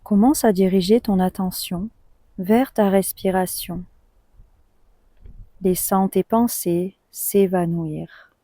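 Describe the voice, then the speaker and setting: A woman guiding a deep relaxation meditation in a calm, soothing, unhurried manner, leaving long pauses between short phrases. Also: dry recording. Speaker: yoga nidra guide.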